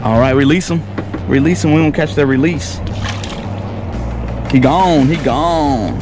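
Excitable young man fishing from a kayak. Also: a little high, unhurried, over music. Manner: excitable, hollers when a fish bites. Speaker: fishing vlogger. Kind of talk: casual on-the-water commentary. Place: kayak on an open lake outdoors. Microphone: action camera on the kayak.

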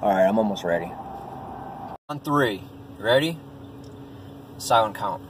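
Man in his forties, lively swings in pitch, blunt casual vlogger, recorded slightly off-mic in a car. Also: measured pace. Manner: blunt, profane. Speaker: amateur comedian vlogging. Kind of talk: casual vlog with stand-up bits. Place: inside a parked vehicle. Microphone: phone or camera at arm's length. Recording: in-car, distant mic, faint noise.

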